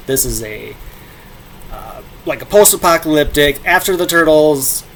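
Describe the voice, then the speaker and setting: A middle-aged man hosting a casual comic book review show, talking in a playful, animated way. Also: on the high side, a little slow, slight background noise, poor audio. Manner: playful, animated, breaking into shouted sound effects.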